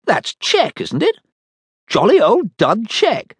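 男性が演じる 尊大で気取った詐欺師の紳士. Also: male voice, high-pitched, wildly swooping pitch, takes it slowly, slightly rough, no echo, studio quality, voice pushed up, heavily acted. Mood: blustering. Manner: plummy officer-like bluster. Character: pompous conniving male con man garage owner.

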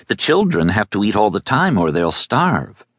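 A man voicing a hushed eleven-year-old girl who keeps asking questions. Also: fairly low pitch, big pitch swings, moderate pace, breathy edge, no room sound, studio quality, pitched above the performer's own voice, exaggerated voice. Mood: hushed wondering. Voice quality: soft, hushed.

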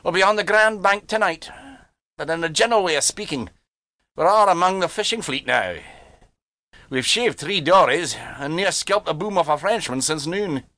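A man voicing a grave older ship's chief engineer, measured and dryly polite, in an audiobook story. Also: a little high, expressive, bright, forceful tone, no echo, voice pushed up. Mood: matter-of-fact.

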